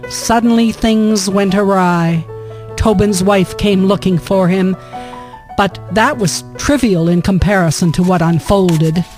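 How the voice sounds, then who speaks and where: A woman's voice: medium pitch, measured pace, a little mellow. A woman giving scripted storytelling narration for a historical radio drama. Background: music.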